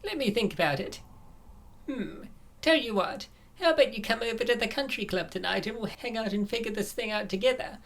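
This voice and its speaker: A woman's voice: a little high, lively intonation, fairly quick. A woman voicing a confident, scheming young woman, the helpful best friend in a comic dub. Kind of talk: comic dub character acting.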